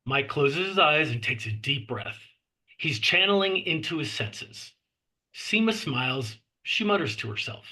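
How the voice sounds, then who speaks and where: A man's voice: fairly high pitch, animated, measured pace, bright, forceful tone, rough recording. A man reading screenplay stage directions aloud as scripted narration at a table read.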